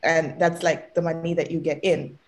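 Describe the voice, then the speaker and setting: A young woman, a student guest, explaining things in a relaxed way with light laughs. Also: low voice, normal speed. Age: young adult. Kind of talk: casual podcast chat.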